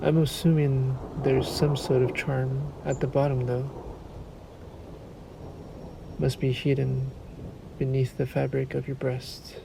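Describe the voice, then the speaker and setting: A man playing a sadistic, courtly vampire lord in a roleplay audio drama, suave and menacing, with formal, drawn-out politeness. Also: medium pitch, completely flat delivery, vocal fry, noisy background. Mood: coaxing, insistent. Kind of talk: scripted roleplay audio drama, addressed to listener.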